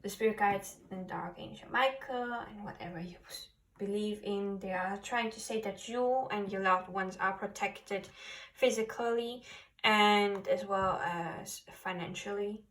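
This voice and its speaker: Slow-paced non-native English-speaking woman, distant mic. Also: mid-range voice, fairly bright tone. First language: not English. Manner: halting, searching for words. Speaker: tarot card reader. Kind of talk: spiritual card reading to camera.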